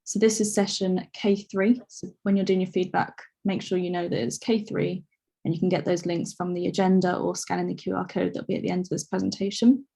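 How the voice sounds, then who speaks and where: A woman chairing a conference session, briskly introducing the speaker and giving announcements. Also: on the low side, flat delivery, vocal fry, mellow.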